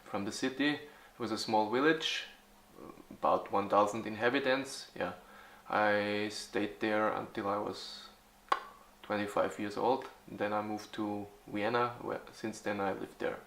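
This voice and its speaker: A man in his forties from Austria, answering interview questions haltingly, with many fillers and restarts. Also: fairly low pitch, fairly even intonation, fairly bright tone, reverberant. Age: 40s. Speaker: youth football club organizer.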